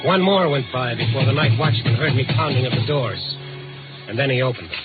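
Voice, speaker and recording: A tinny, narrow old radio recording with loud music carries a man acting a tough American night-beat reporter. He talks very fast, clipped and insistent, with a hard-boiled edge in the style of fifties radio drama. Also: medium pitch, expressive, natural voice. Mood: tense narration. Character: urgent, tough-talking male newspaper reporter on the night beat.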